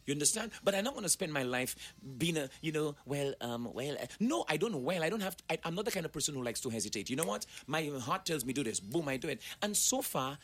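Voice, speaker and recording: A man's voice: a little high, big pitch swings, quick pace, a bit bright. A man, giving an emphatic, preachy motivational talk as a guest and speaking straight to the listeners. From Saint Lucia. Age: 40s.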